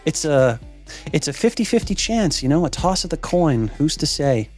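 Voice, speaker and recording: A man's voice: on the high side, sing-song, normal speed, a little mellow, soft background music. A man hosting a reaction show, chatting casually and informally in a rambling way, with loose swearing. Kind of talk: casual show intro and chat.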